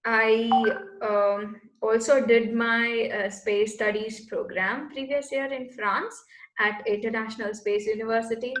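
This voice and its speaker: A woman's voice: a little high, fairly even intonation, a little slow, a bit bright. Earnest young woman in an echoey room. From Bangalore, India. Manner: earnest, searching for words as she explains. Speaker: guest, space entrepreneur. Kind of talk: casual interview answers.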